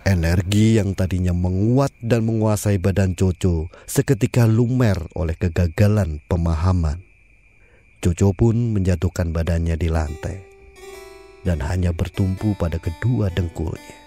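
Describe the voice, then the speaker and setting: A man narrating an audio drama in a measured storytelling manner. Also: low voice, warm tone. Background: faint music.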